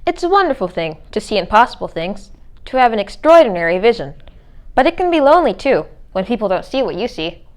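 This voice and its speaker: Woman reading a kids picture book out loud, voice a bit on the high side. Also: big pitch swings, a little fast. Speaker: read-aloud reader. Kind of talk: children's storybook read-aloud.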